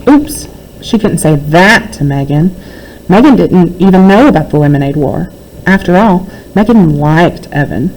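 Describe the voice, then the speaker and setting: A woman's voice: fairly low pitch, expressive, a little slow, a little mellow, low-quality recording. A woman reading a children's book aloud as a narrator. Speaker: read-aloud reader.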